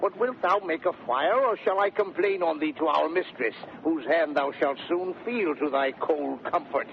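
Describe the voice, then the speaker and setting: A scratchy, phone-thin vintage radio play. a grumbling, bossy servant chilled to the bone, his voice high and gravelly, full of exclamations and complaint. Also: normal speed. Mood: grumbling, boastful. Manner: exclamatory, complaining, stuttering with cold. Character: grumbling, half-frozen, bossy male servant back from a journey. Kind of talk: 1930s British radio Shakespeare comedy acting. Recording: scratchy, noisy, narrow-band 1930s radio recording.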